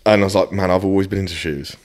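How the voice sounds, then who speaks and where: A British man in his early thirties with a low-pitched voice talks casually, as a guest in conversation. He is blunt and self-deprecating, and swears freely as he goes. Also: fairly even intonation, rapid-fire, darkish tone. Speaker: podcast guest, fitness coach. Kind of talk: casual chat.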